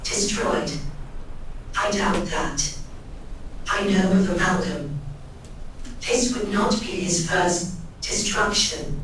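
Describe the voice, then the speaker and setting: A woman plays a gentle, solemn female machine in a fantasy video game. She talks slowly and formally, with halting care. Heavy echo surrounds the voice, which sits a little away. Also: on the low side. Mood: questioning, doubtful. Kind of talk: scripted video game dialogue. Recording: echoing, distant game audio, faint noise.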